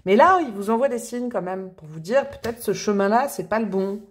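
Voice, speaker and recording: A woman doing a casual, chatty tarot reading to camera, with expressive, playful emphasis. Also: medium pitch, fairly quick. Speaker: tarot reader.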